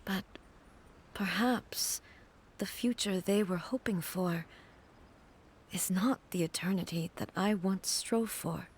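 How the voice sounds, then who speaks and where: A woman's voice: medium pitch, takes it slowly, long pauses, slightly rough. A woman playing a solemn, regal warrior god in a video game, speaking in a formal, stately way.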